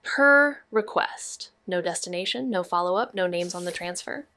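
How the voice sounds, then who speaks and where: A woman acting a quick-witted, playful and determined amateur investigator in a naturalistic mystery audio drama. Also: fairly low pitch, animated, bright, forceful tone. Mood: focused, investigative. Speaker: actor in a neo-noir mystery podcast.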